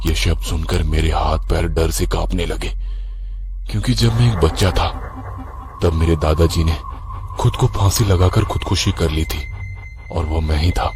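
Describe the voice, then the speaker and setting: Deep voice guy narrating a scary story in first person, faint background ambience. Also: normal speed, background noise. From Maharashtra, India.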